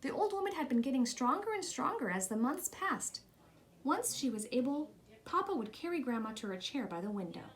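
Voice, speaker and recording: A woman reads a children's story aloud. Her voice sits a little high, and she delivers the text as a storybook narrator would. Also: sing-song, fairly quick. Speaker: storybook reader.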